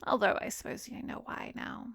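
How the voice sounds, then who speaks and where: A woman playing an arrogant, seductive vampiress who hypnotizes in an ASMR roleplay, breathy and commanding, often slipping into a whisper. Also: brisk, fairly bright tone, dry recording, studio quality, close to an everyday voice. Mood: smug, gloating.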